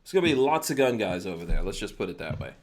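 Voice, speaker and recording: A man's voice: low voice, very animated, fast. A man hosting a podcast in casual chat, with a teasing, joking way of talking. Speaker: podcast host.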